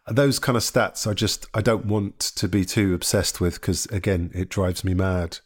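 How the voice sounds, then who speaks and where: Casting note: male football podcast co-host, lower voice, dry wit, sighs before he speaks. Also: moderate pace, breathy edge. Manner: dry, joking asides, sighs. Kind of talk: casual football chat.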